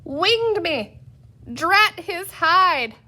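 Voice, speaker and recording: A woman voicing angry little green men in a storybook, shouting old-west cowboy slang. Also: very high-pitched, big pitch swings, very slow, voice pushed up, heavily acted. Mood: alarmed.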